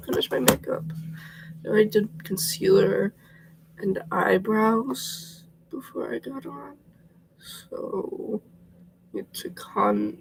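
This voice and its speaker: Congested young man, high and gravelly. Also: background noise. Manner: chatty and rambling, often dropping to a whisper. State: congested, sick. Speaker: vlogger. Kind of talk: casual get-ready-with-me livestream chat.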